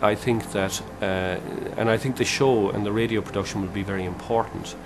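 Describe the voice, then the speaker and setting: A man giving a thoughtful documentary interview, pausing on fillers as he weighs his words. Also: lowish voice, fairly even intonation, background noise.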